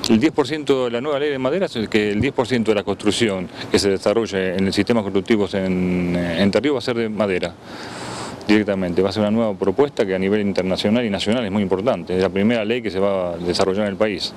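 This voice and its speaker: A man in his fifties with an Argentine accent answering a TV interview, pausing as he lists things. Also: lowish voice. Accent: Argentine Spanish. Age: 50s. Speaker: trade fair organizer being interviewed. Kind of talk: on-location TV interview. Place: outdoors beside a building near a road. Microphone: handheld interview microphone. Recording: outdoor street and traffic noise.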